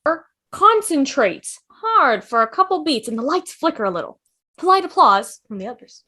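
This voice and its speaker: A woman's voice: sits high, big pitch swings, normal speed, sharp tone. A woman reading scripted stage directions as narrator in an online table read. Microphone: video call.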